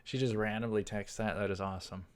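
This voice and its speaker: A man's voice: fairly low pitch, steady tone, fast talker, smooth. A man hosting a let's play, reading a visual novel aloud and adding casual commentary. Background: faint music.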